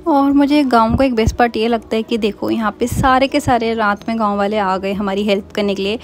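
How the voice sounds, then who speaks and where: A woman's voice: fairly high pitch, fairly even intonation, brisk, soft background music. A young woman from Uttarakhand, India, chatting casually as she narrates her family vlog. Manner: chatty, mixes Hindi and English. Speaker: vlogger.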